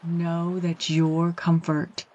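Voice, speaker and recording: A woman guiding a yoga nidra meditation, speaking in a calm, gentle, soothing way with measured phrases and long pauses. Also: fairly low pitch, muffled recording. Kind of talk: guided meditation, slow and soothing instruction.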